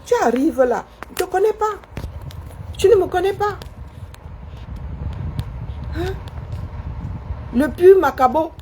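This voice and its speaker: A woman's voice: very high voice, lively intonation, slow, long pauses, dark tone, slight background noise, rough recording. A woman live-streaming a scornful monologue, firing challenging rhetorical questions at a critic who commented.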